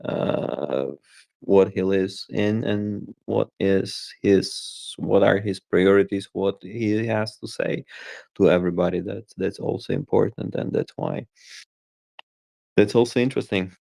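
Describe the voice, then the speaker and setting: A man with a low, gravelly voice speaks slowly and haltingly, often stopping to search for the right English word. The sound is dry and close, with no room echo. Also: mellow. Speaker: volunteer translator. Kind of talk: casual chat.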